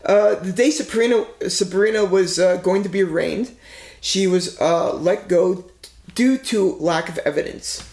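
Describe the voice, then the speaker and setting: A man's voice: high-pitched, measured pace, darkish tone, echoey room. A man co-hosting a casual true-crime podcast, rambling with lots of fillers and swearing freely. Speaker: podcast co-host telling a true-crime case.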